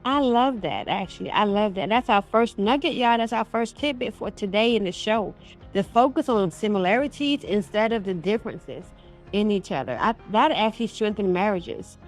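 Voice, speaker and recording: A woman's voice: mid-range voice, animated, normal speed, faint music behind. A woman hosting a conversational interview show, talking in a folksy, affirming way and addressing listeners directly. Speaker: radio show host.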